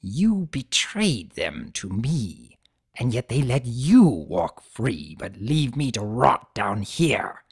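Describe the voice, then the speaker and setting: A man's voice: medium pitch, big pitch swings, takes it slowly, vocal fry, husky, full character voice. A man voicing an ancient, weakened centaur villain in a story, bitter and enraged, snarling and muttering his words. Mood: bitter, resentful.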